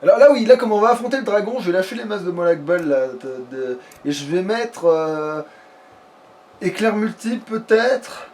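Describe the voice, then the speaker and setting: A man's voice: sits high, expressive, normal speed, darkish tone. A man commenting casually on his game, with crude joking slang. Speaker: let's player. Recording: noisy home recording.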